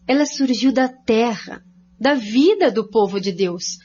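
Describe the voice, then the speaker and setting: A woman's voice: on the high side, lively intonation, measured pace, a little mellow, a little hiss or hum. An actress playing an earnest woman expositor in a scripted radio drama, laying out points one by one in a didactic way. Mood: solemn, explaining. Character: woman researcher Jacira, earnest, didactic study-group expositor. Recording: dull, lo-fi recording.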